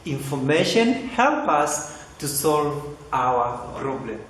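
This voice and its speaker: A man's voice: fairly high pitch, slow pace. A young man with a Tanzanian English accent teaching a lesson in an empty computer classroom, repeating points and asking "right?" often. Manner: repetitive, asks "right?" and "huh?" often, lively gestures. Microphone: camera mic at a few feet. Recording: very echoey classroom with faint hiss.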